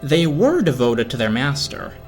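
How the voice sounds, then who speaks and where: Expressive male storybook narrator over music. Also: mid-range voice, normal speed. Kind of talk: dramatic storybook reading. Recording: music behind the voice. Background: film score music.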